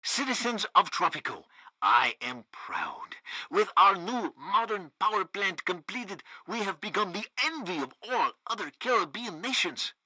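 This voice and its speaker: A pompous state radio newsman. His voice is very bright and forceful, swinging theatrically up and down. He delivers satirical game propaganda with total loyalty and bombast. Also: high-pitched, sing-song, a little slow, no echo, exaggerated voice, poor audio. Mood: proud. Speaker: radio news host. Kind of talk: satirical radio news broadcast.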